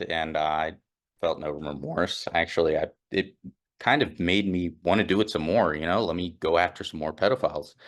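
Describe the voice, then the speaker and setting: A young man telling his own story casually, in an offhand, rambling way, with many fillers and restarts and a slightly nerdy-sounding voice. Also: deep voice. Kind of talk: casual first-person storytelling.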